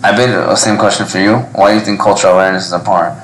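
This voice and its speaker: A man's voice: sits low, fairly even intonation, racing, a little hiss or hum. A man of Yemeni background hosting a live podcast panel, talking casually with lots of ums and restarts. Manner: rambling, hesitant with many ums. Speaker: podcast host. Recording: echoey restaurant room.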